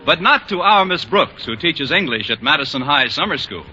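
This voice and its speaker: A muffled old radio broadcast with music behind a male American announcer. His voice is very bright and rather high, with lively intonation, reading in polished mid-century sponsor-introduction style. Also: normal speed.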